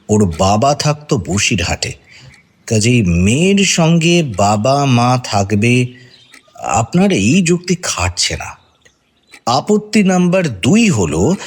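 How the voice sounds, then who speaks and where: A probing, skeptical detective, slightly husky. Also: male voice, on the high side. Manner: interrogating, pointed, argumentative. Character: senior male police detective, probing and skeptical. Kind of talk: radio drama acting.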